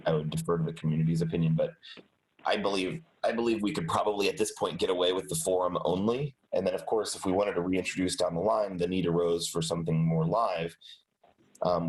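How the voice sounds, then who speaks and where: A man's voice: sits low, steady tone, a little fast, poor audio. A man on a video call, a little stuffed up with a light cold, talking through a team decision with many restarts. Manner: rambling, many restarts and hedges. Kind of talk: casual meeting discussion.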